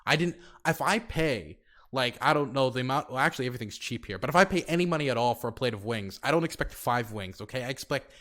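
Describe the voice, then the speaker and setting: American male host type, loose rambling delivery, mid pitch, keeps circling back with "like". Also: expressive, fast talker, fairly bright tone. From United States. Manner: rambling, many fillers and restarts. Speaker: podcast host. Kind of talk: casual podcast chat.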